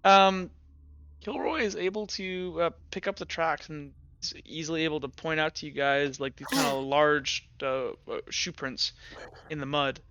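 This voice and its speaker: A man running a tabletop game, improvising narration and chatting casually in a halting way, often repeating words. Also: a little high, fairly even intonation, bright, forceful tone, clean tone, no echo, lo-fi sound. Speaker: game master.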